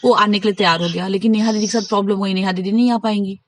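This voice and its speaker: A woman's voice: mid-range voice, fairly even intonation, racing, no echo. A woman in a casual private phone conversation, talking insistently, giving advice and recounting what others said. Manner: insistent, advising, recounts conversations by acting out quotes.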